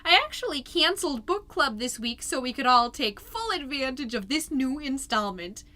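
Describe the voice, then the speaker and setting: A woman's voice: sits high, animated, normal speed, sharp tone. A woman playing a chirpy, gushing suburban PTA mom who hosts a podcast, delivering a comedic monologue in a theatrical, folksy and emphatic way. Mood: gushing, excited. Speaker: podcast host.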